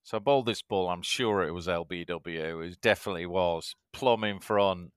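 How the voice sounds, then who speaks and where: A man in his fifties from Yorkshire, a guest telling anecdotes in a halting way, with many fillers. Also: low-pitched, clean tone, no echo, clean studio sound. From Yorkshire, England. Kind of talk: casual interview chat.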